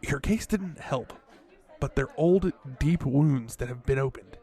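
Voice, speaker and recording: A man playing a level-headed, placating cafe worker who reasons with people in a measured way, in an audio drama. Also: medium pitch, warm tone, lightly acted. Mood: calm, cautious.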